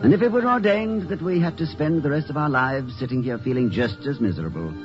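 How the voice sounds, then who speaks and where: An actor playing a doting, dreamy college president in a 1950s radio drama, with a flustered, stammering, genteel way of talking, on a muffled old recording. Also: male voice, on the high side, lively intonation, quick pace, music in the background, natural voice. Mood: adoring. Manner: flustered stammering, effusive, genteel turns of phrase.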